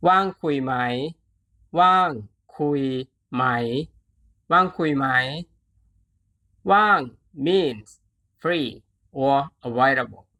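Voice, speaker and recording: A language teacher giving a scripted lesson, enunciating deliberately and repeating phrases syllable by syllable before translating them. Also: mid-range voice, expressive, pushed and bright, lo-fi sound.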